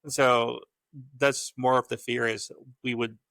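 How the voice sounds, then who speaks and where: A man's voice: medium pitch, little pitch movement, slow, vocal fry, pushed and bright, no room sound. A man from the Philippines with a Filipino accent, a guest chatting casually and rambling with restarts. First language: Tagalog. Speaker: guest, lab scientist. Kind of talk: casual conversation.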